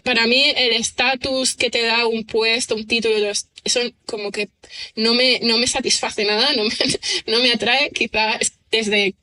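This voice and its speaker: A woman's voice: fairly high pitch, steady tone, normal speed, pushed and bright. A middle-aged woman, a writer talking through her ideas as an interview guest, full of fillers and restarts. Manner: thinks aloud with many fillers and restarts, laughs easily. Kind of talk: reflective conversational interview.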